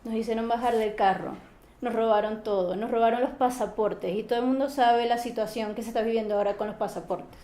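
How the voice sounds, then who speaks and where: A young woman with a Venezuelan accent from Maracaibo speaks in a calm, measured way. Her voice sits a little high and sounds mellow. The room around her is echoey. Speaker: interviewee. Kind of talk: interview.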